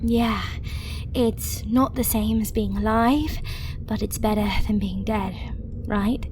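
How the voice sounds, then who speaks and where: A woman's voice: mid-range voice, slow, background noise, lightly acted. A woman playing a pragmatic, chatty female necromancer in an audio roleplay, talking casually and matter-of-factly to the listener as she works. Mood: focused, explanatory. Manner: casual, matter-of-fact, chatty while working.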